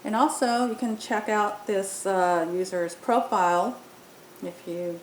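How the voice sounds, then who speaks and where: A woman presenting an unscripted app demo, explaining step by step with some hesitation. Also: slow pace. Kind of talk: unscripted software tutorial narration. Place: indoor room, voice-over on screen recording. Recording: roomy echo, faint rumbling noise.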